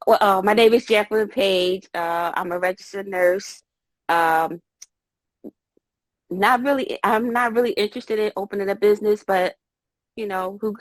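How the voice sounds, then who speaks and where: A shy woman on a video call speaks with a gravelly voice at a very slow pace. The sound is close and dry. She giggles and sounds a little unsure as she introduces herself. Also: mid-range voice, dry recording. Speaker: registered nurse, listener on the call. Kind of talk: casual self-introduction on a group call.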